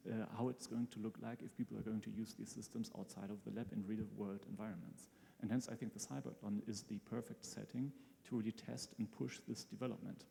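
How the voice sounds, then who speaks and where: Male guest expert, measured explainer, creaky low-lit tone, reverberant hall. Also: mellow, slight background noise. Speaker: brain-computer interface researcher, guest expert. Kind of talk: explaining on stage in a live interview. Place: large hall at a live event. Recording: hall reverb, faint music behind.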